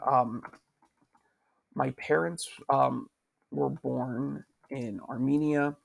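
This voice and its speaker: A man's voice: a little high, creaky. A male teacher recording a lesson at his computer, talking patiently and repeating questions to prompt his students. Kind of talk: screen-recorded writing lesson.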